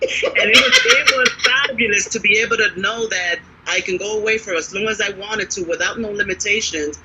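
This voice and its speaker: An enthusiastic woman financial advisor speaks over a video call. Her voice is bright and forceful, and her delivery is persuasive and upbeat. A faint background hum sits under her. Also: mid-range voice, big pitch swings, brisk, low-quality recording. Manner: upbeat and persuasive, peppered with "right?". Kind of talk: enthusiastic casual pitch on a live video chat.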